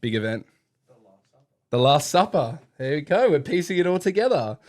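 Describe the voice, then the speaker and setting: A man's voice: a little high, sing-song, a little fast, darkish tone, no echo. A man co-hosting a podcast in casual chat, joking and laughing as he reads out trivia. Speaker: podcast co-host.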